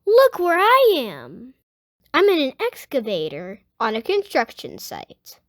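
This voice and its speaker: A child host reading a picture book aloud for young listeners, addressing them directly in an excited way. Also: high voice, slow pace. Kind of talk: storybook read-aloud with enthusiastic channel intro and sign-off.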